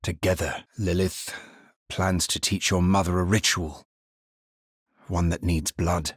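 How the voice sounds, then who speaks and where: Slow, low, grave necromancer. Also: male voice, level tone, slightly rough, no echo, clean studio sound. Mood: grave, restrained. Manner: measured, somber, formal delivery. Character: grave, solemn male necromancer hero. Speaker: video game player character. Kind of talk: scripted fantasy game voice lines.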